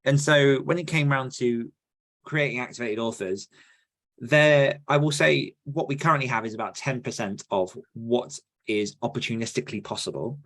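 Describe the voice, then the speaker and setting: A man's voice: medium pitch, normal speed, a bit bright. A man hosting a podcast in casual conversation, earnest and reflective as he thinks his points through.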